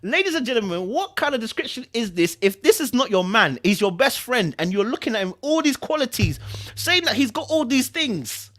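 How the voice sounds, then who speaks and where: Animated man reading and reacting. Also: extremely high pitch, fairly quick. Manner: animated, repeats phrases for emphasis. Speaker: host reading a book aloud. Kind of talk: dramatic narration with chatty commentary.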